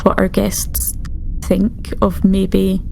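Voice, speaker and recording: A woman playing a tabletop game, describing her character's actions in a casual, thoughtful, halting way. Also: mid-range voice, completely flat delivery, gravelly, dark tone, soft background music. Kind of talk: casual improvised roleplay description.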